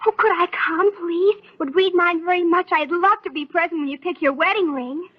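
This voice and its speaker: A muffled vintage radio serial recording with an adoring teenage girl. Her voice is high, eager and gushing, full of romantic pleading. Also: moderate pace. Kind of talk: 1930s radio soap opera acting.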